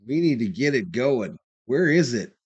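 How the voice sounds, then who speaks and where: A man's voice: medium pitch, sing-song, normal speed, a little mellow, slightly rough, muffled recording. A man hosting a casual live sports stream, calling plays as a fan, informally saying y'all and swearing. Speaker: live stream host doing fan play-by-play commentary.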